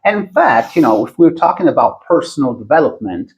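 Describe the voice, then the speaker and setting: An Italian-accented man hosts a podcast conversation in a mid-range voice. He speaks earnestly and keeps encouraging his guest with quick affirmations. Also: medium pitch, very animated, low-quality recording. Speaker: podcast host. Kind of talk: interview hosting.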